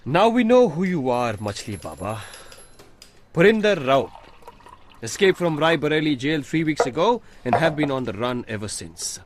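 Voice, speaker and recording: An actor playing a stern, accusing investigator in a radio drama, clipped and emphatic, with noise and clicks behind him. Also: male voice, a little high, big pitch swings, moderate pace.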